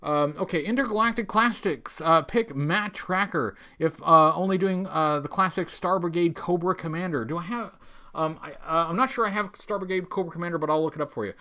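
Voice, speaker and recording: A man hosting a casual livestream, talking in a rambling, halting, stop-start way with a wry, tongue-in-cheek manner as he chats with viewers. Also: a little high, bright, forceful tone. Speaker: livestream host. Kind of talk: casual livestream chat reading viewer messages.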